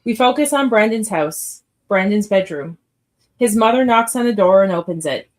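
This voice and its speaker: A woman's voice: medium pitch, animated, moderate pace. Female narrator, mid-range voice, reading scripted stage directions for a live radio drama.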